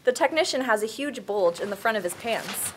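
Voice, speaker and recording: Woman reading stage directions, kinda high expressive voice, little background noise, table read. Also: fairly quick. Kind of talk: scripted narration at table read.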